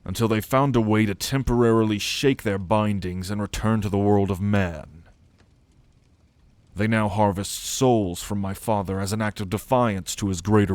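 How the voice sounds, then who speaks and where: A man's voice: lowish voice, darkish tone, no echo. A man playing an ancient, haughty, brooding immortal son of a god in a fantasy audio roleplay, speaking formally and solemnly with disdain.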